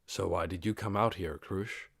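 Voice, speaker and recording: A man plays a male lizard warrior in a fantasy audiobook. He sounds calm, sincere and steady, with a fairly low voice recorded dry and close. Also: moderate pace, vocal fry, husky, no echo, exaggerated voice. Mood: relaxed. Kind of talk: audiobook character acting.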